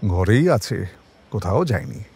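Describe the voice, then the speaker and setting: A male actor playing a shrewd, world-weary senior detective in a crime audio drama, with a measured, wry, colloquial delivery. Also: lowish voice, wildly swooping pitch, vocal fry, darkish tone, slightly rough, exaggerated voice.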